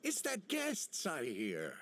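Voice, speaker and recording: An actor playing a humble, gentle elderly village chief in a video game, speaking in a halting, wistful manner. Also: male voice, a little high, wildly swooping pitch, noisy background, exaggerated voice. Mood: kindly. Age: older. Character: kindly, humble elderly male village chief with failing eyesight. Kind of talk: video game character acting.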